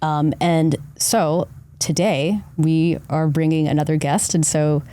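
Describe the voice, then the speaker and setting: A woman hosts a podcast and tells her story earnestly, often checking in with "right?" Her voice is very low and warm. The sound is dry and close. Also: slow, no room sound. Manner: earnest, animated storytelling with many "right?" tags. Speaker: podcast host. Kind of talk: casual podcast conversation.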